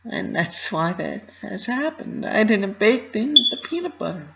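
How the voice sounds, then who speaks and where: A man's voice: sits high, very animated, a little slow, gravelly, heavy background noise, voice pushed up, heavily acted. A man voicing an anxious, guilt-ridden young female pony in a dramatic story reading, self-critical and pleading. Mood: upset, self-blaming. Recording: slightly muffled lo-fi recording.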